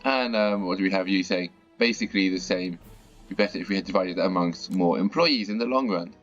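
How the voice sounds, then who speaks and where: A man with a low, croaky voice from a sore throat talks through a game, chatty and now and then mildly exasperated. The audio is a bit dull and lo-fi, with faint music underneath. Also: fairly quick. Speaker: gaming channel host. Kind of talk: casual gameplay commentary.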